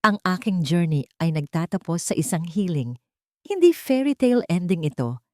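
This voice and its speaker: Filipino woman narrating, low-pitched and dry. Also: very animated, normal speed, no room sound. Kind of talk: scripted first-person audio drama narration.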